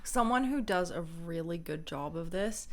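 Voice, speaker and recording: A young woman with a low, loud voice, co-hosting a casual marriage advice chat and telling stories animatedly. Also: moderate pace. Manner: animated storytelling, acts out voices and quotes with laughs. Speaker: co-host of a marriage advice channel.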